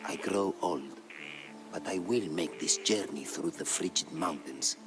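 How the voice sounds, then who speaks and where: An actor playing an aged, solemn chronicler of military campaigns, narrating a game storyline in a grave, measured way. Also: male voice, a bass voice, music in the background. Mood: solemn resolve. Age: older. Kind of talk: dramatic scripted storyline narration.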